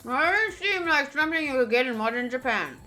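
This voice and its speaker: A woman's voice: high voice, animated, fairly quick, pushed and bright, faint music behind. A woman game streamer reading game text aloud and chatting informally with casual asides.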